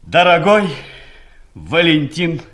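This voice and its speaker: A pompous, mournful chief accountant in an audio play. The voice is high for a man and very animated. He declaims with ceremonial sorrow. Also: slow pace, exaggerated voice. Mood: solemn lament. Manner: solemn, declamatory.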